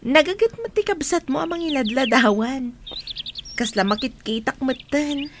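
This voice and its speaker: A woman's voice: high-pitched, lively intonation, moderate pace. A woman playing a friendly, appreciative visitor on holiday in a radio drama. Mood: wistful, delighted. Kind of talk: Ilocano radio drama acting. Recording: noisy bed of bird and insect sounds.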